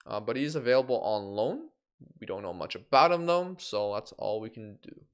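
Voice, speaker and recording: A man's voice: fairly low pitch, sing-song, a little fast, smooth. A young man from Canada, chatting on a live game stream and reacting aloud to results.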